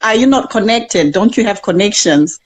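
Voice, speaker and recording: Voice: medium pitch, lively intonation, normal speed. A show host taking a listener's call, mostly giving short prompts and brief acknowledgements. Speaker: radio-style show host. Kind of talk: call-in chat.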